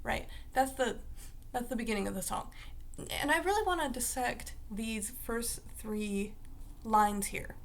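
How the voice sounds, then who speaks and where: A young woman vlogging in a casual, rambling way, her voice raspy because she just woke up. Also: fairly high pitch, measured pace, slight background noise. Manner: rambling, chatty, full of fillers like "like" and "uh". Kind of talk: casual talk to camera.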